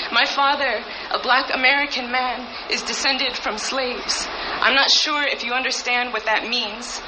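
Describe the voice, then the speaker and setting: Young woman reciting cutting spoken-word poetry. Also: high-pitched. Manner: intense, measured, cutting delivery. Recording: played clip with steady background noise.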